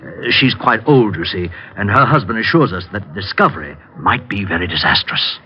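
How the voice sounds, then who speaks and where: An actor as a low-voiced, placating store manager. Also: male voice, expressive, normal speed, natural voice. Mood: confiding, anxious. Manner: formal, placating, careful. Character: polite, anxious, discreet male department store manager. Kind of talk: 1940s-50s radio drama acting. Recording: dull old-time radio recording.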